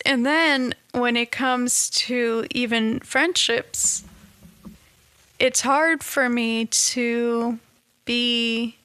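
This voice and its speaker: A woman talking in a casual, reflective monologue, halting and restarting often, with a slightly nasal, stuffed-up voice. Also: a little high, smooth, dry recording. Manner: halting, many restarts and soft laughs. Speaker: vlogger.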